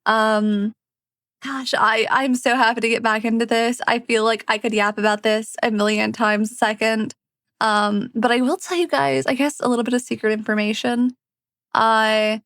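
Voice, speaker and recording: A woman's voice: on the high side, fairly bright tone, no room sound. A woman storyteller and voice actor who narrates fantasy and chats to her listeners, recorded close up. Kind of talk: scripted fantasy narration and casual chat to listeners.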